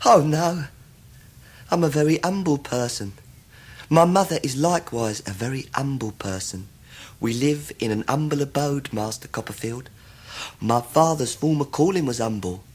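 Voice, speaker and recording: An actor playing an obsequious, scheming young law clerk in a radio drama, fawning and full of false humility. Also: male voice, medium pitch, lively intonation, a little slow, slightly rough. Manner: fawning, self-abasing, oily.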